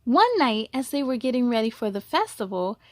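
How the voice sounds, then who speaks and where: A woman reading a children's story aloud as host, expressive and exclamatory, speaking to young listeners. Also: a little high, no echo, clean studio sound. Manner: slow, deliberate, exclamatory delivery for children.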